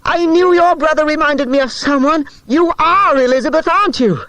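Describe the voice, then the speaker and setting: A man voicing a bold, excitable schoolboy sleuth in a children's audiobook, eager and exclamatory. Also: extremely high pitch, moderate pace, dry recording, pitched above the performer's own voice, rough recording. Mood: excited, shouting.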